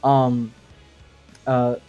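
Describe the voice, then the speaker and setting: A man's voice: mid-range voice, completely flat delivery, extremely slow, mellow, clean tone, highs cut off, faint music behind. A man in a casual podcast discussion, explaining things in an enthusiastic, nerdy way. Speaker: guest sound designer.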